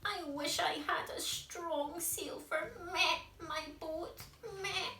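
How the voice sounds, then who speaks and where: A woman's voice: very high-pitched, steady tone, takes it slowly, sharp tone, echoey room, recorded from a distance, a little hiss or hum, pitched above the performer's own voice, full character voice. A woman voicing a forlorn storybook goat who talks plaintively and bleats between phrases.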